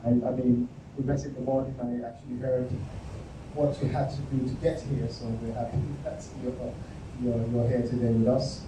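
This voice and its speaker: A man's voice: medium pitch, flat delivery, normal speed, noisy background. Man moderating in an echoey room. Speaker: panel moderator. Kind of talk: introducing panelists. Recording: echoey room, far from the mic.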